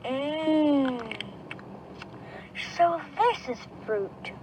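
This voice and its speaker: A very high, childlike young female bat, earnest and eager. The words come slowly and swing widely up and down, in expressive storybook style. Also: a little hiss or hum, full character voice, rough recording. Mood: delighted. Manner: childlike, expressive, drawn-out delivery.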